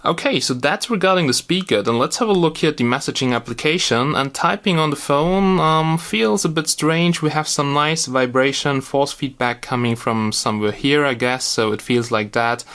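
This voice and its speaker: A man's voice: on the high side, normal speed, hardly any pauses, fairly bright tone. Casual, blunt male gadget reviewer. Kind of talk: casual unscripted product review commentary.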